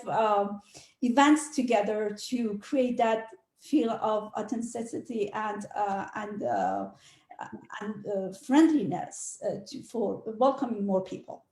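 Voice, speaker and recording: A middle-aged woman, a realtor and committee chair, speaking English as a second language in a halting way with many fillers during a panel discussion. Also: on the high side, mellow, reverberant. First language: not English.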